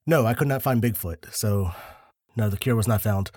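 A man co-hosting a podcast, telling a story in a laid-back, deliberate way with dry jokes, a little short of breath. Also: on the low side, a little mellow, no room sound. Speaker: podcast co-host.

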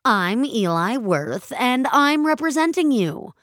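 A woman's voice: fairly high pitch, sing-song, slow, fairly bright tone, dry recording, studio quality, pitched above the performer's own voice, heavily acted. Woman doing a weary, condescending male lawyer. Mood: officious. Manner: coaxing, officious. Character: weary male public defender, placating, condescending.

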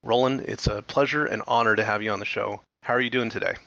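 A man's voice: on the low side, fairly even intonation, fast talker, creaky, sharp tone, dry recording. A man hosting an interview teleconference, reading a sponsor note and then chatting loosely with fillers. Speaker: podcast host. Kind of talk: interview host, reads sponsor intro. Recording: dull dry teleconference audio.

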